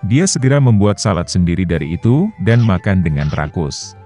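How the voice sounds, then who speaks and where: Deep-voiced man reading a bedtime story. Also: big pitch swings, moderate pace, dark tone, faint music behind. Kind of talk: scripted bedtime story narration.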